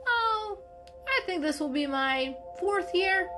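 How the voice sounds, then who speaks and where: A woman's voice: extremely high pitch, lively intonation, slow pace, background noise, pitched above the performer's own voice, heavily acted. A woman voicing a friendly, carefree travelling cat in a children's story, chatty, upbeat and emphatic. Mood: chatty, proud.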